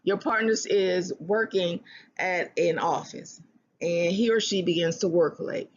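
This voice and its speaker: A speaker giving earnest relationship advice in a self-help talk, often restarting phrases and slowing down to stress a point. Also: medium pitch, muffled recording, a little hiss or hum. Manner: earnest, repeats and restarts phrases, slows for emphasis.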